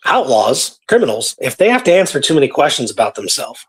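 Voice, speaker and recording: A man's voice: mid-range voice, sing-song, brisk, breathy edge. A man co-hosting a show, talking casually through history and voicing imagined thoughts as he tells it. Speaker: radio show co-host.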